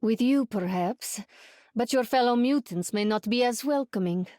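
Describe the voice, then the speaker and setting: A woman voicing a grave, proud heroine in a video game, measured and formal. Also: on the high side, mellow, no echo, clean studio sound, natural voice. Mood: grave, challenging. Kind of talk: video game character voice lines.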